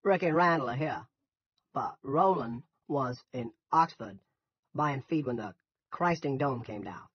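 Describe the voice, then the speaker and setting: A man's voice: medium pitch, expressive, measured pace, husky, no room sound, muffled recording, voice pushed up. A man voicing a barking, folksy but deferential chicken farmer with a thick New England Yankee accent in an audiobook. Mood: respectful.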